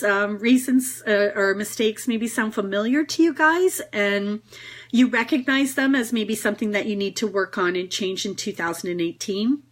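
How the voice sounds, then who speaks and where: A woman's voice: a little high, fairly bright tone. A woman with a cold giving a casual, encouraging live talk to viewers, chatty and full of fillers. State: has a cold. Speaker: network marketing business trainer.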